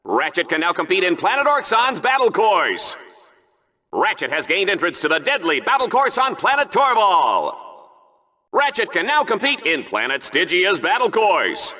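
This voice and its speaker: An actor playing a bombastic, smug, bloodthirsty game-show sports announcer in a video game, with theatrical, mock-sincere delivery. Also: male voice, high voice, sing-song, normal speed, sharp tone, full character voice. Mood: proclaiming. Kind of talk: hyped-up arena commentary for a video game.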